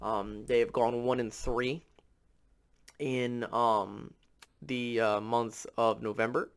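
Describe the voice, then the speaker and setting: A man with a mid-pitched voice, a little raspy and stuffed up from a cold. He talks casually to his viewers as a sports channel host, loosely and hesitantly, working out his thoughts as he goes. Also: flat delivery, slow pace. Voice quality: slightly raspy, nasal from a cold. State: under the weather, congested.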